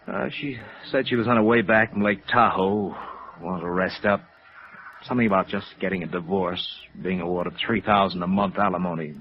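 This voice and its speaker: An American actor playing an embarrassed, hesitant hotel manager who has been swindled, in a 1950s radio drama. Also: male voice, low voice, steady tone, moderate pace, natural voice. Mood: embarrassed, explaining. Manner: hesitant, rueful. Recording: muffled vintage radio recording.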